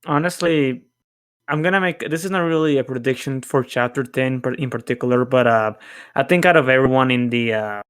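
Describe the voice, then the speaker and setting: Smooth clear voiced guy on a podcast, casual, kinda halting and unsure. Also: medium pitch, fairly bright tone. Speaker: podcast panelist. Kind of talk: casual chat.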